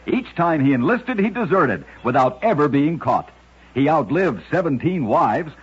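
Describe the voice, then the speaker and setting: A man narrating strange true stories in a scripted old-time radio style, with a dramatic, measured delivery. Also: on the high side, husky. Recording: dull, lo-fi old radio recording.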